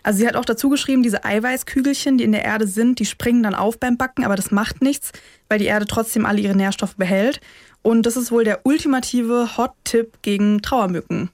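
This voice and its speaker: A woman chatting casually as a podcast co-host, informal and quick to laugh. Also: on the high side, darkish tone, no echo. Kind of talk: casual chat between co-hosts.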